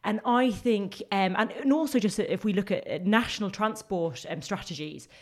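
A woman's voice: mid-range voice, normal speed. A young woman from London with a London accent, a guest on a casual podcast, talking earnestly with many fillers and restarts. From England. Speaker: guest, local election candidate.

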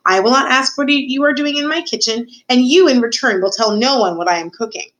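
A woman's voice: sits high, brisk, a bit bright, pitched above the performer's own voice. A woman voicing a hearty, big-hearted, blustering palace cook in a storybook, with folksy, emphatic speech. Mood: amused, conspiratorial. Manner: folksy, emphatic, colloquial speech with ain't and don't.

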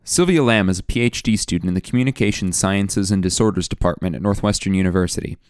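A young man narrating a scripted documentary, measured and explanatory, often putting questions to the listener. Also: low voice, clear, resonant tone, no room sound, clean studio sound. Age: young adult. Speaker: student radio producer and narrator. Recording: faint music underneath.

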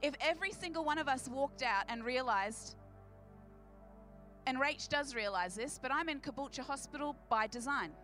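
A woman's voice: high voice, animated, normal speed, a bit bright, faint music behind. A woman with an Australian accent preaching to a church congregation in a big, emphatic voice, repeating phrases for effect. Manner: big, projecting voice. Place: church service.

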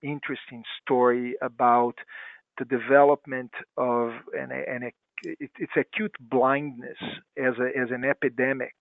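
A man's voice: medium pitch, fairly even intonation, measured pace, vocal fry, dry recording. Brazilian man on a tinny line. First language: Portuguese. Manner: explanatory, stops to restart phrases. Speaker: guest physician. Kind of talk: clinical podcast interview.

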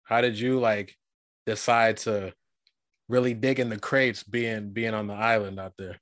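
A man from Guyana hosting a casual interview, talking in a relaxed, rambling way with lots of fillers. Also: fairly low pitch, unhurried, no room sound, clean studio sound. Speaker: podcast host.

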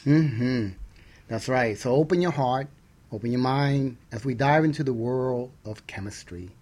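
Casting: man, middle-aged, medium pitch, chatty and upbeat talk radio co-host. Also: a little slow, darkish tone. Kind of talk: chatty radio hosting with some scripted reading.

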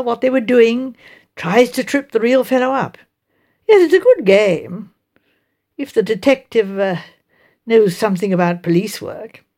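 A pompous older English colonel, put on by a woman narrator, holds forth in clipped, blustering phrases. He is self-satisfied and opinionated, an audiobook character with lively swings of pitch.

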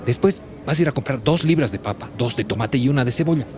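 An actor playing a crooked, pushy market butcher in a radio drama, with a Bolivian accent and a slangy, singsong vendor's way of talking that wheedles and bullies. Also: male voice, mid-range voice, lively intonation, quick pace, over music, close to an everyday voice. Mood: bullying, impatient. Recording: clear outdoor street noise behind.

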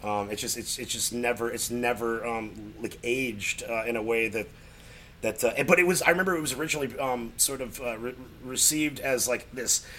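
A man in casual conversation about films, talking in a rambling, halting, crudely joking way. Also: medium pitch, a bit bright, slight background noise. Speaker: podcast guest, actor. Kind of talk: casual film chat.

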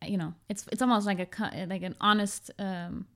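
A woman's voice: medium pitch, steady tone, fairly quick. A woman from Germany, a podcast guest, talking reflectively with many hesitations and restarts. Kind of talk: casual conversation.

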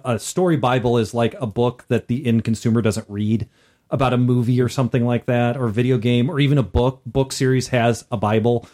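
A man's voice: medium pitch, steady tone, brisk, mellow. A man co-hosting a podcast, chatting casually about tabletop gaming. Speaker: podcast co-host.

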